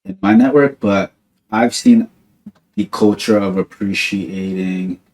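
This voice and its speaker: Laid-back young man, low voice, rambling. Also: takes it slowly, a little mellow, poor audio. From New Jersey, USA. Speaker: podcast host. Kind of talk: casual chat.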